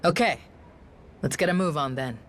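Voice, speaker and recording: Actor as higher-voiced confident pirate tactician. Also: male voice, normal speed, fairly bright tone, a little hiss or hum, close to an everyday voice. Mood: steady, resolute. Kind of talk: video game cutscene acting.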